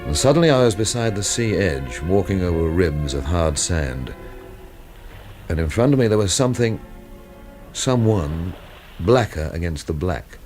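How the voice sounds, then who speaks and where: Low-voiced, brooding, wry man. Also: sing-song, moderate pace, a little mellow, soft background music. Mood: brooding, bleak. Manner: brooding, literary, dryly wry. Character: weary, brooding, wry man caught in a murder case. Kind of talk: radio drama acting and first-person narration.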